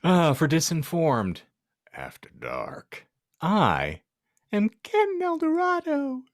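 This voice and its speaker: A man's voice: fairly high pitch, huge pitch swings, takes it slowly, dry recording, studio quality. A man hosting a podcast in casual banter, joking with mock-grand announcer phrasing. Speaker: podcast host.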